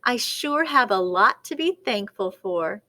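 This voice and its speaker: A woman's voice: medium pitch, lively intonation, unhurried, sharp tone. A woman voicing an anxious, polite male turkey in a storybook, earnest, apologetic and hesitant. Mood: uncertain, thankful.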